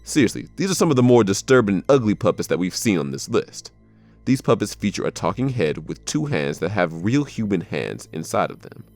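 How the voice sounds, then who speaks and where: A man's voice: on the low side, big pitch swings, fairly quick, darkish tone. A man delivering scripted narration as a video narrator. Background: background music.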